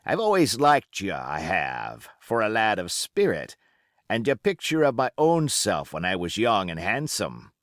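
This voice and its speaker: A sly old seafaring pirate played by a male reader, all cajoling sailor talk with menace under the geniality. The pitch swoops about freely and the pace is unhurried, as in a storybook told aloud. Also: huge pitch swings, a bit bright, no echo, clean studio sound, heavily acted. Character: wily old male sea-cook pirate captain, genial yet menacing. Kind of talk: audiobook character acting.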